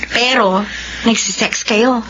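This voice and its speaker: Blunt filipina gal pal, nosy n scolding, high bright voice, radio drama. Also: female voice, slow. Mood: prying. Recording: dull radio audio, faint noise.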